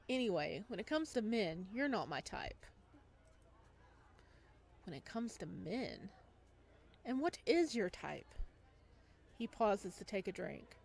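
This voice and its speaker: Woman, gaming streamer, medium pitch, slow pace, giggly, playful, reading visual novel lines and commenting. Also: gravelly. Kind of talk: let's play commentary, reading visual novel text aloud.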